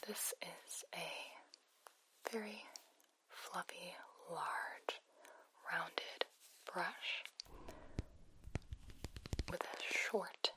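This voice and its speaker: Casting: female ASMR roleplayer, low-pitched breathy whisper, polite and deliberate hotline specialist manner, phone-filtered. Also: fairly even intonation, rough recording. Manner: gentle, deliberate, politely formal phrasing. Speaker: ASMR performer playing a sleep hotline specialist.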